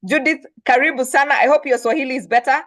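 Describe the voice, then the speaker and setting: High-pitched Kenyan woman hosting. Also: quick pace, a bit bright, dry recording, studio quality. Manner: effusive, promotional. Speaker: host. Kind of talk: enthusiastic event announcements and guest introduction.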